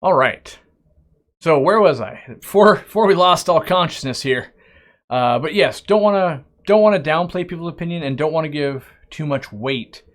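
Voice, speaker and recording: A man's voice: a little high, animated, moderate pace. A man hosting a casual live-stream Q&A. He reads viewer questions aloud and answers them in a rambling, chatty way, often restarting his sentences. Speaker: aquarium shop owner hosting a live stream.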